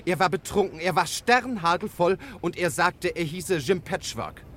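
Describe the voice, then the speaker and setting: An actor playing an indignant passer-by in an old radio crime play, scolding and insistent. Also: male voice, high-pitched, lively intonation, moderate pace, bright, forceful tone, a little hiss or hum. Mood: agitated, earnest. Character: indignant, officious male passer-by. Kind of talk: 1950s German radio crime play acting.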